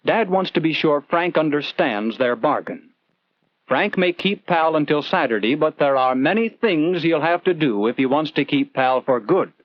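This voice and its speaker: A man's voice: on the high side, lively intonation, normal speed, dry recording, highs cut off. A man narrating a scripted 1940s instructional film in an earnest, conversational storytelling manner, putting questions to the viewer, on an old film soundtrack.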